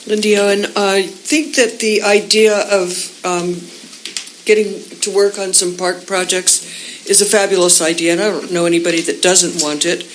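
A woman giving a measured, cautionary public comment at a community meeting. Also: medium pitch, steady tone, slight background noise, poor audio.

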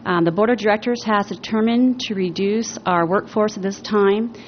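A woman's voice: mid-range voice, moderate pace. A woman speaking as a chamber of commerce president during public comment at a meeting, earnest, with frequent ums. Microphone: podium microphone. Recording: muffled meeting audio with faint noise.

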